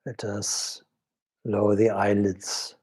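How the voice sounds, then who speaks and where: A man leading a guided meditation as a swami, speaking in a measured, solemn, devotional way with pauses between short phrases. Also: fairly low pitch, level tone, a little mellow, husky.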